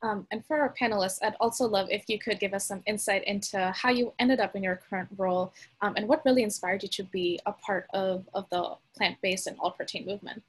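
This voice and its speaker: A woman's voice: mid-range voice, steady tone, a little fast, breathy edge. A woman moderating a panel, introducing herself and putting questions to the panelists.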